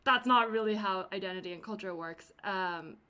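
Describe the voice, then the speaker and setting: Bright-voiced podcast host, rambling. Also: female voice, medium pitch, normal speed. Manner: rambling, filler-heavy, laughs easily. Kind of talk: casual interview chat.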